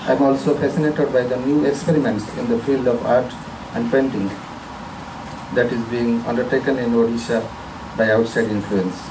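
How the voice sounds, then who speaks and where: A man's voice: mid-range voice, fairly even intonation, normal speed, recorded from a distance, background noise. A man from India giving a formal, earnest self-introduction at a conference, speaking from a prepared statement in an auditorium.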